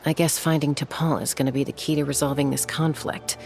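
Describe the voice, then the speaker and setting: A determined young warrior flier from a video game with a low, firm voice. She talks bluntly and decisively, with faint music behind her. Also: flat delivery, fairly quick, creaky, darkish tone. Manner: blunt, decisive, impatient to act.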